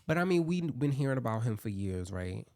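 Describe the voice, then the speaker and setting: A man with a light voice co-hosting a casual podcast. He talks in an animated, rambling way, restarting his sentences as he goes. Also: medium pitch, a little fast. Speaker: podcast co-host. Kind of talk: casual chat and storytelling.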